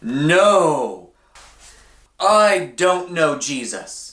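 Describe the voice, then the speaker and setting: A man voicing a brash, headstrong fisherman disciple in a children's storybook, emphatic and drawn-out in his insistence. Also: on the high side, sing-song, a bit bright, echoey room, pitched above the performer's own voice, heavily acted. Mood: emphatic denial.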